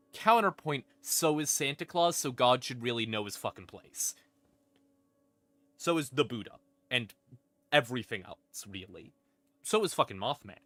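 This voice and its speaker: A man casually explaining game lore on a stream in an irreverent, sweary, rambling way, with dramatic pauses for emphasis. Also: mid-range voice, sharp tone, dry recording. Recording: music playing in the background. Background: creepy ambient music.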